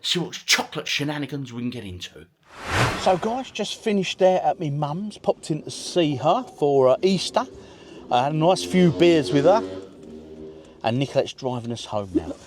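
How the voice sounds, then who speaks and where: A man's voice: on the high side, very animated, moderate pace, a little hiss or hum. A middle-aged man chatting casually to his vlog viewers, rambling along in British slang with chirpy greetings. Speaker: vlogger and retro gaming channel host.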